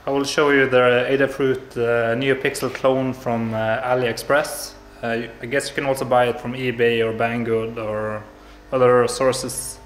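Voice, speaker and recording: A man's voice: medium pitch, level tone, a little slow, few pauses, a little hiss or hum. A man in his thirties from Norway with a Norwegian accent, showing a hobby electronics build at home in a hesitant way with lots of filler sounds. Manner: hesitant, many filler sounds, soft-spoken. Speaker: hobbyist maker. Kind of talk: casual tech demo. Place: apartment living room and kitchen, indoors. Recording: echoey room.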